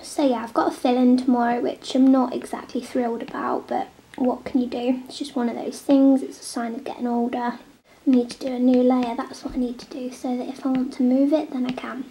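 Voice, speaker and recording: A young woman with a head cold talks casually as she draws at a table at home, now and then sinking into a near-whisper. Her voice sits high but stays level and mellow, with a gravelly edge of vocal fry. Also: flat delivery, brisk, a little hiss or hum, poor audio. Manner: chatty, drifting between soft near-whispers and normal talk. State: snotty with a cold. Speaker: vlogger drawing on a tablet. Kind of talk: casual chat while drawing. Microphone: camera on the table.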